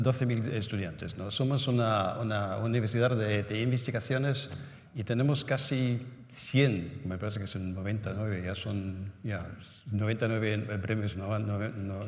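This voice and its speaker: A man speaking Spanish as a non-native whose first languages are German and Italian, giving a halting symposium talk full of restarts and tag questions. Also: on the low side, darkish tone, highs cut off. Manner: halting, many restarts and "¿no?" tags. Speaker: conference speaker. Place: conference hall. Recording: echoey hall with long reverb.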